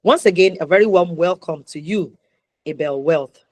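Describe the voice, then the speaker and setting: Talk show host: woman, Nigerian accent, lowish pitch, emphatic and deliberate delivery, dry sound. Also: no room sound. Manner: emphatic, deliberate phrasing with rhetorical questions. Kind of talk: interview hosting with introductions.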